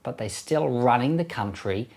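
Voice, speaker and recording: Man, Australian accent, mid-pitched voice, pastor, conversational Bible teaching, plain-spoken. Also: animated, moderate pace, vocal fry, a little mellow. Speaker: pastor and Bible podcast host. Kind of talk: conversational Bible teaching with read verses and anecdotes.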